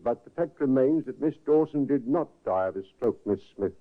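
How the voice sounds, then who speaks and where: A man's voice: medium pitch, animated, normal speed, close to an everyday voice. A man playing a courteous, probing police inspector. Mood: probing calm. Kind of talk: 1950s radio drama acting. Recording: tinny old radio broadcast.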